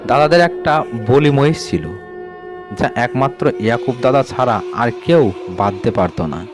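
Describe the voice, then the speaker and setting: A man with a mid-pitched voice narrates a scripted ghost story at a measured pace. He sinks lower and quieter at the grim turns, with faint music playing under him. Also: animated.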